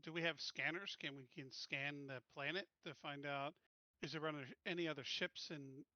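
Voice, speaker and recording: Bright, higher-voiced man playing tabletop games. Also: fairly even intonation, normal speed, no room sound, highs cut off. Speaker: tabletop game player. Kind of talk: casual chat during a role-playing game.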